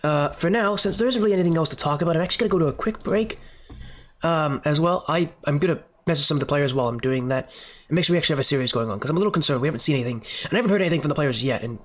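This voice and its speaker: A man with a slightly raised voice and a quick pace casts an esports league on his stream. He has the flu, so he sounds stuffy-nosed and sniffly. He keeps chatting loosely to fill the wait. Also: a little high. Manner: chatty filler talk while waiting. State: sick with a stuffy nose, sniffling. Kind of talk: solo stream commentary.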